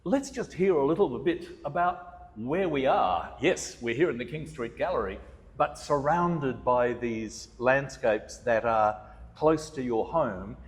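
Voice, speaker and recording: A man with a loud, projecting voice, courteously hosting a conversation with an artist at a gallery. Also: medium pitch, expressive, measured pace. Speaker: host interviewing an artist. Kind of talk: gallery talk, casual conversation. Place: art gallery, large room. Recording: long reverb in a gallery hall.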